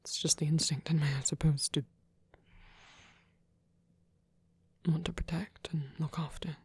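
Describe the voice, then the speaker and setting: A performer voicing a gentle, protective vampire priest in a soft, husky whisper, tender and hesitant, as intimate ASMR roleplay. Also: medium pitch, little pitch movement, fairly quick, no room sound. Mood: tender, reassuring.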